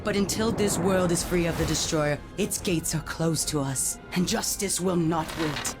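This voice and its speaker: A woman's voice: on the low side, normal speed, music in the background, natural voice. A woman playing a stern, righteous angel warrior commander in a video game, with formal, solemn and commanding delivery. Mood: solemn vengeful. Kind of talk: video game cutscene acting.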